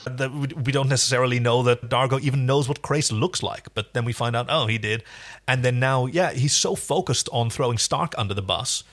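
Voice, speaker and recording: A man's voice: medium pitch, brisk, fairly bright tone. A man co-hosting a podcast, giving casual, analytical and enthusiastic commentary on a television show. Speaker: podcast co-host.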